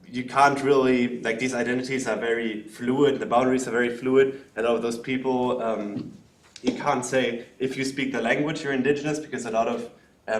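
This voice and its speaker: A man from the audience asks a long, careful question at a lecture. He speaks loudly and pauses often with "um" and "uh." The recording is roomy and echoing. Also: medium pitch. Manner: loud, hesitant, many fillers. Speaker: audience member asking a question. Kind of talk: spoken question at a talk. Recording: roomy hall sound.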